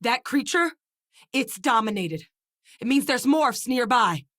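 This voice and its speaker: An actress playing a steady, determined female military scientist in a video game, with a serious, matter-of-fact manner. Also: low voice, normal speed, dry recording, studio quality. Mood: urgent, shouting.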